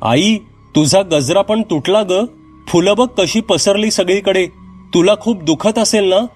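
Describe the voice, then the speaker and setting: A man's voice: high-pitched, measured pace, slightly rough, faint music behind, pitched above the performer's own voice. A man voicing a worried young girl, anxious and pleading, in a storybook horror tale. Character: worried young girl fretting over her hurt mother.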